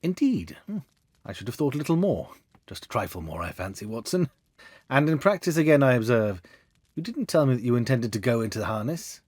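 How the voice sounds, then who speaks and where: Storybook gentleman detective acted by a male reader: self-assured, analytical, a touch condescending, animated intonation, dry close sound. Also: medium pitch, a little fast, dry recording. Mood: amused, assured. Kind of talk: storybook character dialogue.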